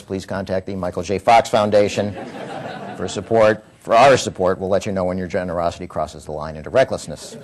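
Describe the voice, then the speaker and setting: A comedy writer reading a prepared talk to an audience, wry and deadpan with comic timing. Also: male voice, fairly low pitch.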